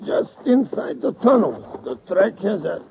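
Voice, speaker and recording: Scheming saboteur, tinny old radio. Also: male voice, high-pitched, big pitch swings, measured pace, gravelly, full character voice. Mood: straining. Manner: curt, commanding, conspiratorial. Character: scheming, vengeful male saboteur ringleader. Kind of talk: old-time radio villain acting. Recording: tinny, faintly hissy old radio recording.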